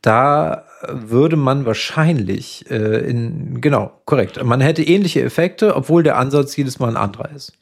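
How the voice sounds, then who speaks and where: Male psychotherapist guest, loose chatty explainer, mid pitch. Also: a little slow, vocal fry, hardly any pauses. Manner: relaxed, explains with fillers and tag questions. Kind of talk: casual podcast conversation.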